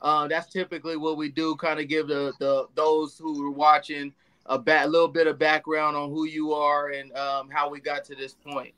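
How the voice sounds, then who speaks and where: A man co-hosts a podcast in a loose, laid-back way. His voice sits a bit high, and he hedges and restarts as he talks. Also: flat delivery, moderate pace, bright, forceful tone, clean tone. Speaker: podcast co-host. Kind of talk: casual podcast chat.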